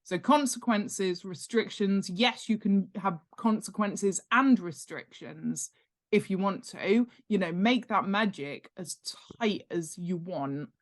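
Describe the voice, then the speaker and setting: A woman speaks as an expert guest in a casual, instructional interview. Her pace is very measured and her pitch sits in the middle. She is emphatic and informal, restating key points and tossing in rhetorical questions. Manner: animated, emphatic, repeats key points for stress; informal, uses rhetorical questions and acted-out examples. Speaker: podcast guest, author and world-building expert.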